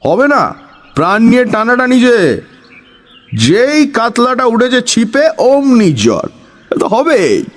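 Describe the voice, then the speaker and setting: A man's voice: very high voice, huge pitch swings, slow pace, pauses often, exaggerated voice. A man playing an eccentric, bossy village know-it-all in an audio story, scolding and conspiratorial with dramatic emphasis. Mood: knowing, excited. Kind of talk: Bengali audio story acting.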